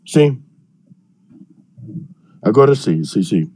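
An older man from Birmingham, England, speaking Portuguese with an English accent, hoarse from illness, talking slowly and haltingly on a video call panel. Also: on the low side, sing-song, warm tone. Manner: slow, halting, searching for words. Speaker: panelist, professor. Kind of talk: online panel talk. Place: home room with bookshelves. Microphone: headset on video call.

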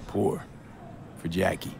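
A man's voice: slow, a little mellow, close to an everyday voice. A man playing a guarded, mournful young street mercenary in a video game. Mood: low-key, curious. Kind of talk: naturalistic video game acting.

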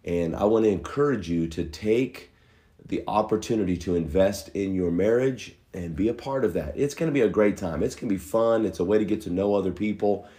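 A middle-aged man, a pastor, giving earnest, folksy church announcements to an online audience from his living room, his voice a little hoarse from a cold, with many filler pauses. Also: fairly low pitch, dark tone.

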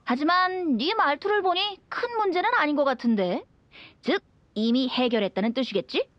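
A woman's voice: sits high, expressive, moderate pace, no echo, highs cut off. A woman voicing a carefree, teasing young male bard in a video game, with a light boyish voice and a playful lilt. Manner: playful, lilting. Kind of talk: animated video game voice acting.

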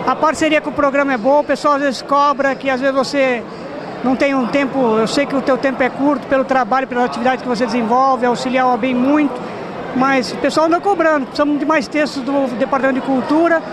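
A middle-aged man, hosting a TV interview at a gala and talking effusively into a handheld mic. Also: very high-pitched. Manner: effusive, fast talking, flattering. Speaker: TV program host interviewer. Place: large indoor ballroom party. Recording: noisy crowded ballroom. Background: crowd chatter and band noise.

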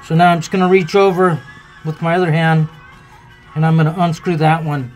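A man's voice: fairly high pitch, fairly quick, faint music behind. A man casually talking through a boat repair as he works with his hands, thinking aloud in plain folksy words. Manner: relaxed, thinking aloud while working, plain folksy talk. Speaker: boat owner showing a repair. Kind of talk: casual hands-on how-to narration. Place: aboard a boat, in the bilge.

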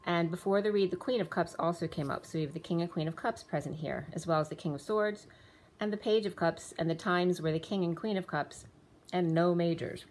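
A woman giving a casual tarot reading, rambling with restarts and going a little hoarse as she loses her voice. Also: fairly low pitch, fairly quick, darkish tone, a little hiss or hum, rough recording. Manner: rambling, many restarts and fillers, reads off card names and times. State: losing her voice. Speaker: tarot reader. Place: indoors, room with a table of spread cards.

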